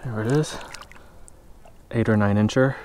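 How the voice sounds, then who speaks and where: Low-voiced American man, noisy outdoor recording. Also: measured pace, dark tone. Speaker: angler and vlogger. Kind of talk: casual fishing vlog narration. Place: outdoors on a mountain lakeshore. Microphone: action camera worn or held at arm's length. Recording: outdoor action-camera audio with clear background noise.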